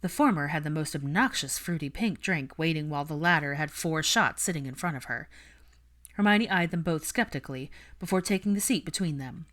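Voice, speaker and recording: A female audiobook narrator with a low-pitched voice. She reads fiction prose in a scripted storytelling style. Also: fairly quick, fairly bright tone.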